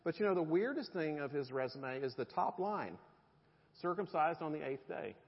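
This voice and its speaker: A man's voice: on the high side, sing-song, moderate pace. A middle-aged man, preaching a conversational sermon with jokes to his congregation. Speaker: pastor. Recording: dull sound in a reverberant hall.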